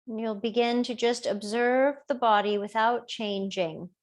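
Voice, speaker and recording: A woman teacher gently guiding a body scan meditation in an unhurried, soothing way with long pauses. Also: a little high, fairly even intonation. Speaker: yoga and body awareness teacher.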